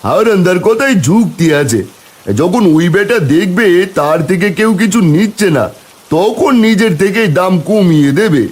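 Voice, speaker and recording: Lively high-voiced village man acted out. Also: moderate pace. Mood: urging. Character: village men: concerned headman and eager helpers. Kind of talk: cartoon character dialogue.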